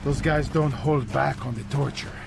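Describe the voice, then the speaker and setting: An actor playing a tough, wry secret agent in a video game. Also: male voice, mid-range voice, normal speed, gravelly, natural voice. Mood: wry, concerned. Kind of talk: video game cutscene acting. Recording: music behind the voice.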